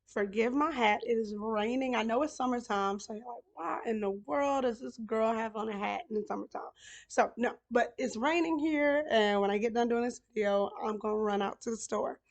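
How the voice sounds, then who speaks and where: A middle-aged woman recapping a reality show in casual chat, hoarse from losing her voice, talking straight to the contestants. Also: a little high, moderate pace. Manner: chatty, talks straight to contestants. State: hoarse, lost voice.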